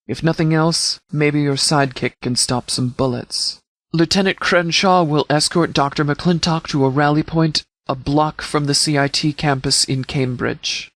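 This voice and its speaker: A man voicing a stern military commander in a video game, speaking formally and with measured authority as he briefs. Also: a little high, a bit bright, no echo. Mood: commanding. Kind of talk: scripted game dialogue, mission briefing.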